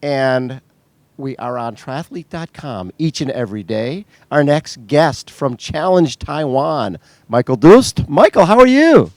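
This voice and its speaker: Lively upbeat male interview host. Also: fairly high pitch, measured pace, dry recording. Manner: upbeat, frequent short interjections. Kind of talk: interview hosting.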